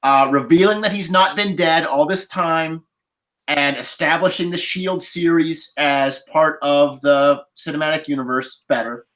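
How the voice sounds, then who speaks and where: A woman's voice: low-pitched, measured pace, fairly bright tone, rough recording. A woman chatting casually as a panelist in a movie discussion. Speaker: podcast panelist. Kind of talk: casual chat, movie review.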